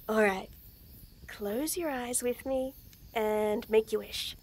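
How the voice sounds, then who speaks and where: A young woman voicing a shy, nervous tomboy friend in a romantic roleplay audio, stammering, giggling and speaking softly. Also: on the high side, extremely slow. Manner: stammering, giggly, soft-spoken. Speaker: voice actor.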